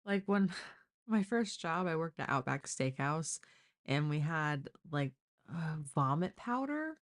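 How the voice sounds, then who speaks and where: A woman's voice: low-pitched, very animated, slow. A woman talking casually and informally, as on a live true crime stream, with exasperated asides. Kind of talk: casual live case discussion.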